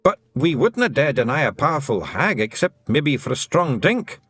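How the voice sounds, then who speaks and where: A tiny, rowdy fairy chieftain, squeaking high with a thick Scottish brogue. His voice leaps all over the place, loud and boisterous. Recorded dry with faint music beneath. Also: sing-song, normal speed, husky, no echo, exaggerated voice. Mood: rowdy, pleading. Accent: put-on Scottish. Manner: boisterous, shouty, brogue-heavy. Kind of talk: audiobook character voice.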